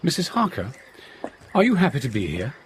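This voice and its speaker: An actor playing a gentlemanly, protective asylum doctor with polite, measured phrasing in an audio drama. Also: male voice, medium pitch, wildly swooping pitch, slight background noise. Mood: courteous. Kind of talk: British radio drama acting.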